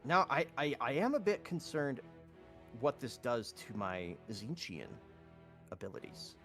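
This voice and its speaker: A man's voice: medium pitch, very animated, unhurried, a bit bright, soft background music. A man playing a tabletop roleplaying game, chatting casually as a player. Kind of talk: casual, animated game chat.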